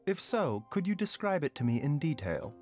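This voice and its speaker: An actor voicing a calm, enigmatic doctor in a video game, speaking in a polite, formal, measured way. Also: male voice, on the high side, faint music behind.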